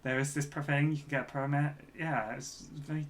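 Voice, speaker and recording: A man with a somewhat high voice co-hosts a casual podcast. His remarks are short, hesitant and noncommittal, with noise around him. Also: fairly even intonation, normal speed, fairly bright tone. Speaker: podcast co-host. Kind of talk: casual chat, brief reactions.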